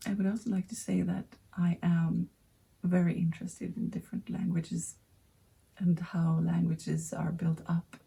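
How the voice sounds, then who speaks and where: Slow, gravelly-voiced Swedish woman. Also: lowish voice, flat delivery, dark tone. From Sweden. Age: 30s. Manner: hesitant, many pauses and fillers. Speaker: knitting podcast host. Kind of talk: casual chat to camera.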